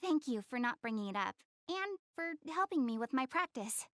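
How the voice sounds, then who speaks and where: A woman playing a proud, self-conscious young goddess girl in an anime-style game, expressive, easily flustered and earnest. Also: high voice, normal speed, dry recording, clean studio sound. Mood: sheepish, confiding.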